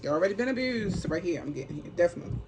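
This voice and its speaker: A woman's voice: lowish voice, lively intonation, rapid-fire. A woman giving an unscripted, casual spiritual card reading, speaking emphatically and repetitively with frequent short check-in tags. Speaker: tarot reader and channel host. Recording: clearly noisy ambient background.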